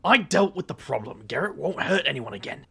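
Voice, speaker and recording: A man playing a roguish, sardonic supernatural fellow with dark secrets in an audio drama, speaking wryly. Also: a little high, very animated, fairly quick, vocal fry, full character voice. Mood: wry, tense.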